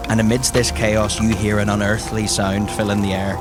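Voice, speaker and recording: A man runs a tabletop game, narrating vividly to his players. His voice sits fairly low. Music plays clearly underneath him. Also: level tone, moderate pace. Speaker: game master. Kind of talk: dramatic second-person narration of tabletop game. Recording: music bed behind the voice. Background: background music.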